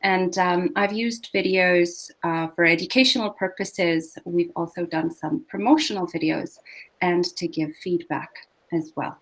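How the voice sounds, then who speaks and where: Lower-voiced woman. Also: steady tone, rough recording. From Argentina. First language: Spanish. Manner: measured, explanatory, frequent ums. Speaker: English language instructor. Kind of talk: online workshop presentation.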